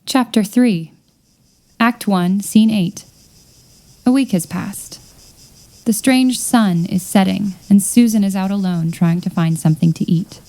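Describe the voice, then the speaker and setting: A woman's voice: mid-range voice, unhurried, warm tone. A woman reading stage directions as scripted narration for an audio drama.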